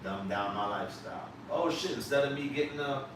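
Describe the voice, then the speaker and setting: A man's voice: mid-range voice, fairly quick. A man hosting a live podcast, talking emphatically and animatedly to his guest and casually dropping swear words. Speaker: podcast host. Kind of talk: casual interview chat. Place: live podcast set on a couch. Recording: echoey room, distant mic, background noise.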